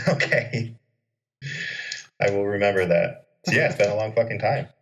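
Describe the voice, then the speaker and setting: A man's voice: lowish voice, moderate pace. A man co-hosting a podcast, joking sarcastically and swearing casually. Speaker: podcast co-host.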